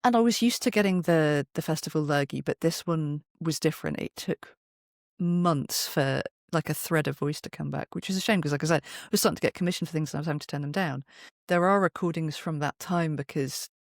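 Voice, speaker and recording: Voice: medium pitch, brisk, vocal fry, no echo. Middle-aged interview guest, wry and dry-recorded. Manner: wry, self-deprecating. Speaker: guest, voice actor and performer. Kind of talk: conversational interview, anecdotal storytelling.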